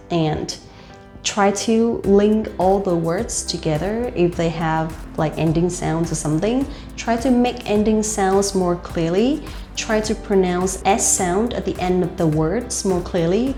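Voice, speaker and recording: A woman's voice: on the low side, dark tone. A young woman with a Vietnamese accent gives casual speaking tips, talking loosely with many "like" fillers. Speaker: host and life coach. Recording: roomy with faint music.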